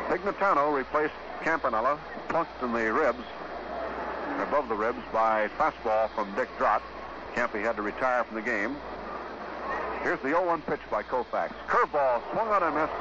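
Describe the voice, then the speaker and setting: A noisy vintage radio broadcast with a dulled, narrow sound carries a man's baseball play-by-play. He speaks at a medium pitch in short, measured phrases with pauses between them, in the manner of a 1950s sports announcer.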